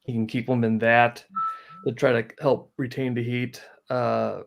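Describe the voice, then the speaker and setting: A man, explaining things in a practical, low-key way as a guest in a casual interview. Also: level tone, unhurried, creaky. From northern Wisconsin, United States. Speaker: guest, outdoor amateur radio operator. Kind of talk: casual live stream interview.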